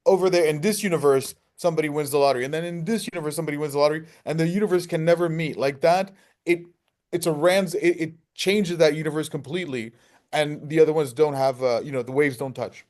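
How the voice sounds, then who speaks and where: A man's voice: fairly high pitch, fairly even intonation, fast, darkish tone. A man answering as a filmmaker in a casual interview, explaining himself and often repeating the openings of phrases.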